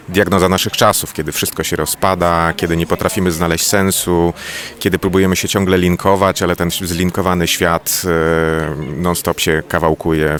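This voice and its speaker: Man, very low voice, academic, measured, hesitating fillers. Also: fairly bright tone. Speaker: cultural anthropologist. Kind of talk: interview statement.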